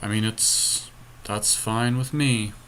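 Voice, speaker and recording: A man with a fairly low voice and a bright, forceful edge. He works through game moves out loud, counting and reasoning as he goes, in the casual style of a podcast co-host. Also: fairly even intonation, slow pace, clear, resonant tone. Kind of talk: casual strategy commentary while playing a card game.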